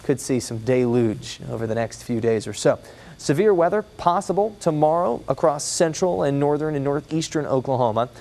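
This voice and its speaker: A man's voice: fairly high pitch, animated, normal speed, creaky, darkish tone. An American man delivering an ad-libbed weather forecast on a broadcast newscast.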